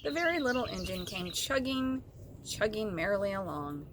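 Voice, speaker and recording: A woman's voice: mid-range voice, very animated, normal speed. A woman narrating a children's story expressively as a storybook reader. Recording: clear background noise with birdsong.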